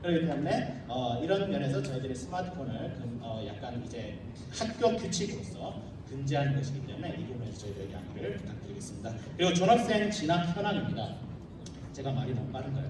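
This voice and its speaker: A man's voice: medium pitch, very animated, fast talker. A man giving a formal slide presentation at a school briefing, explaining things with informal humorous asides. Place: large echoey room, live audience. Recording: roomy echoing hall, distant mic, clear noise.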